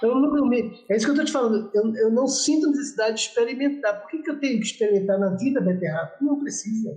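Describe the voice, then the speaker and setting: A man's voice: very high voice, rapid-fire, darkish tone. A middle-aged man hosting a casual online interview, chatty, laughing often and repeating words as he talks. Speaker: host. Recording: echoey room.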